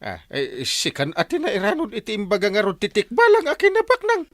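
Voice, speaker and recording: A male actor playing an excitable, envious villager who stammers and exclaims, in a radio comedy drama. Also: sits high, brisk, exaggerated voice. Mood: flustered.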